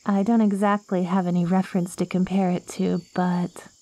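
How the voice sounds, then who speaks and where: A woman's voice: fairly low pitch, little pitch movement, moderate pace, mellow, dry recording. A woman playing an ancient, lonely plant girl in an ASMR roleplay, clingy and curious, with quirky, wordy, theatrical phrasing. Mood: proud, offering. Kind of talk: ASMR roleplay, acted monologue to the listener.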